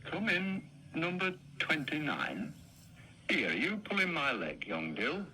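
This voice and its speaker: A man's voice: fairly high pitch, sing-song, slow pace, pushed and bright, slight background noise, full character voice. A man doing a pompous bossy constable. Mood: officious. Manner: officious, blustering.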